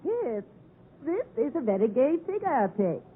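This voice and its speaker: An actress playing an aloof, brittle elderly lady in a 1950s radio drama, speaking slowly and deliberately. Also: high-pitched, sing-song, faint music behind. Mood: aloof, measured. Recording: vintage radio, band-limited and muffled.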